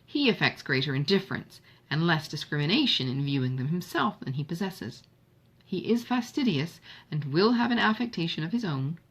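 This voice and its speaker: A woman plays a sensible, gently teasing young lady from a Regency novel. The voice is fairly low and husky, with composed, precise phrasing. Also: sing-song, moderate pace, full character voice. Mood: wry, analytical. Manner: composed, precise, formal period diction. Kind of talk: audiobook character dialogue.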